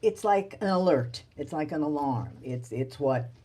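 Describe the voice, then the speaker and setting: Woman, lowish raspy voice, literary interviewer, thoughtful conversation, halting reflective phrasing. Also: expressive, warm tone. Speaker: interviewer at a book event. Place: live bookstore event.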